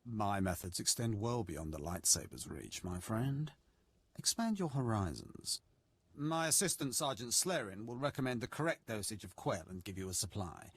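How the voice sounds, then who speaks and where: A husky, sadistic dark lord. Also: male voice, lowish voice, animated, normal speed, no echo. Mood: scheming, courteous. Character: sadistic male evil lord, courteous, gloating, relishing cruelty. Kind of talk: video game villain acting.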